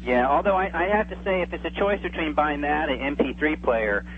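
A man in his forties chatting as an interview guest, enthusiastic and reminiscing, often halting and repeating words, heard over a phone. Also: fairly high pitch. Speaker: interview guest, radio drama producer. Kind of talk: casual chat, reminiscing. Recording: tinny phone line with hum and faint noise.

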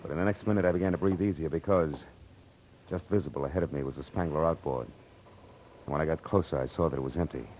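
Hardboiled american private eye, low voice, dry n bossy, old noisy radio recording. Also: male voice, fast talker, darkish tone. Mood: grim, measured. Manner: terse, dry, wisecracking. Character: hard-boiled, wry, commanding male private detective. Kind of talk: 1940s radio detective acting and first-person narration.

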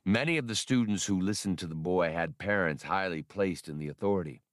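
A man voicing a courteous, grave older scholar in an audiobook, with formal, deliberate wording. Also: low-pitched, no room sound, studio quality, natural voice. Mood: grave, regretful. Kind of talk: audiobook character dialogue.

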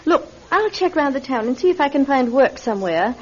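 A woman's voice: high-pitched, very animated, a little fast, a little mellow. An actress playing a proper, anxious, hard-up British mother in a classic radio drama, polite and genteel yet firm. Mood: apologetic, worried. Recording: muffled radio recording.

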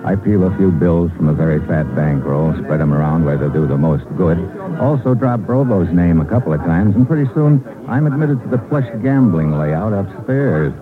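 Low-voiced, hardboiled American agent, vintage radio. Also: male voice, expressive, moderate pace. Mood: cool, wry. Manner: clipped, slangy hardboiled delivery. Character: tough, slangy American male undercover government agent, cool and calculating. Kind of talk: old-time radio drama acting with first-person hardboiled narration. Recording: old radio recording, narrow band, music behind.

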